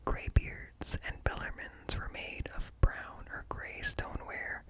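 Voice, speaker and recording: A man whispering an ASMR reading in a hushed, deliberate way, very close to the microphone. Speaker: ASMR host reading articles. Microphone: close up, ear-to-ear stereo.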